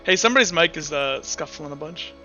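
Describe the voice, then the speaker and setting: A man speaking as game master, improvising narration in an informal, halting way with repeated and restarted phrases. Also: fairly high pitch, animated. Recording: muffled online voice call with background music.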